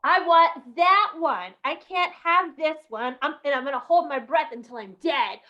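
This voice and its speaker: A woman's voice: high voice, moderate pace, dull audio, natural voice. A woman playing a bratty, defiant, demanding young boy in an old-time radio comedy, insolent and boastful. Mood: stubborn, demanding.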